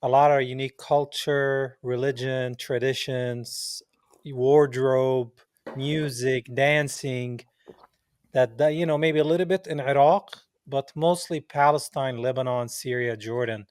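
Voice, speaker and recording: A man's voice: mid-range voice, steady tone, a little slow, darkish tone, clear, resonant tone, no room sound, studio quality. A young man hosting a podcast, chatting in a friendly, chummy way and calling his guest "man" and "bro". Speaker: podcast host. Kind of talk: casual interview chat.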